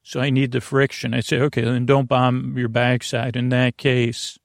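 Male sleep-podcast host: creaky, gravelly delivery, dry close sound, lulling and goofy, wandering off on halting tangents. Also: medium pitch, completely flat delivery, no room sound. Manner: meandering, halting, full of tangents. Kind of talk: rambling bedtime sleep-podcast monologue.

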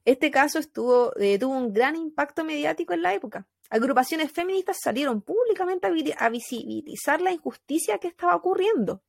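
A woman with a Chilean accent telling a true crime story in casual podcast chat, animated, with fillers and laughs. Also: high voice, brisk, gravelly, hardly any pauses, no echo, studio quality.

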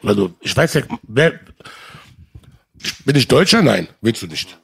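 A man's voice: medium pitch, very animated, a little slow, vocal fry, fairly bright tone, breathy edge. A man in casual conversation, speaking passionately and often calling the listener brother. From Germany. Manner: impassioned, addresses listener as brother. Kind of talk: casual chat.

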